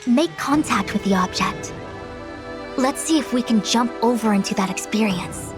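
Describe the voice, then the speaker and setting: A composed female sage played by a woman in an anime-style game. She instructs in formal, measured sentences with calm authority, over clear background music. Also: on the high side, natural voice. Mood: analytical.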